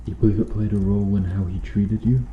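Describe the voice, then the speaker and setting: A man playing a stern medieval knight in an ASMR roleplay, questioning formally and earnestly with dramatic pauses. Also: fairly low pitch, fairly even intonation, reverberant, phone-quality sound, slight background noise, lightly acted. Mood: solemn, insistent. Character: stern medieval knight interrogating an accused noble witch.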